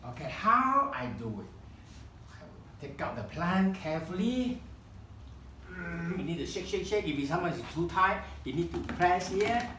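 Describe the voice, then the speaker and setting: A man whose first language is Chinese, leading a live kokedama-making demonstration in a room and often pausing to search for words. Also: on the high side, a bit bright, roomy sound, a little hiss or hum. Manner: halting, searching for words, many restarts. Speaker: kokedama workshop founder demonstrating. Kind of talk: live hands-on craft demonstration. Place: room at a home demonstration event.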